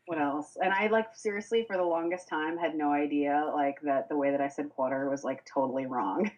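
A woman's voice: sits low, fairly quick, darkish tone, muffled recording. A young woman from Boston, a music blogger, chatting casually as a podcast guest with lots of fillers and restarts. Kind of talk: casual interview chat.

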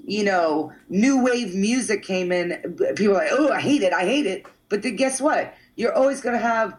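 A woman's voice: mid-range voice, animated, normal speed, poor audio. A woman artist talking in a rambling, casual interview style, often checking in with "you know what I mean" and swearing offhandedly. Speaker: artist guest.

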